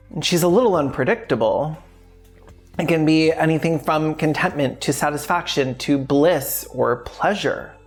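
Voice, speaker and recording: A woman narrating a scripted video essay in a wry, conversational, sweary way. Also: low voice. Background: music behind the voice.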